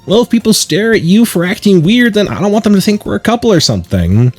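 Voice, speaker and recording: A man voicing a reluctant high school boy from a visual novel, dry and dismissive. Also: big pitch swings, fast talker, soft background music. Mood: teasing, dismissive. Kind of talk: visual novel character read-aloud.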